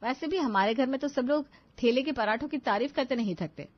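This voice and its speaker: A woman's voice: high-pitched, fairly quick, pitched above the performer's own voice. A woman playing a crafty, coaxing elder daughter-in-law and young mother in a cartoon. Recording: dull lo-fi audio.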